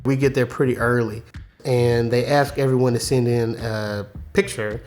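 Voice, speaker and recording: A man in his forties from Texas, telling his faith story in a relaxed way, chuckling as he talks. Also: mid-range voice, moderate pace. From Houston, Texas. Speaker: interviewee. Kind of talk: personal faith testimony.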